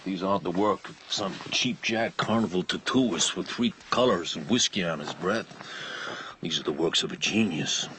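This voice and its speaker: An actor with an American accent playing a bitter tattooed carnival drifter in a radio drama, brooding and dramatic, dwelling on hushed words. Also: male voice, deep voice, moderate pace, a little hiss or hum, poor audio. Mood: brooding, boastful.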